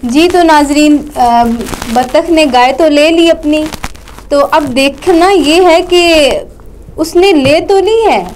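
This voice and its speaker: High-pitched young Pakistani woman hosting. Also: takes it slowly, a little hiss or hum, poor audio. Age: young adult. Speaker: host. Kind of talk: hosting a comic puppet show. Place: TV studio.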